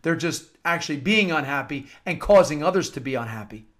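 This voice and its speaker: High-voiced American man preaching earnestly. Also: lively intonation, fairly quick, fairly bright tone. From United States. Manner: earnest, emphatic, repeats phrases for emphasis. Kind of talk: informal devotional talk with scripture-like readings.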